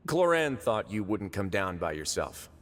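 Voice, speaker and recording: Lively, teasing, lowish-voiced overseer. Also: male voice, measured pace. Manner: teasing, informal. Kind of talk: game voice acting. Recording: music under the voice.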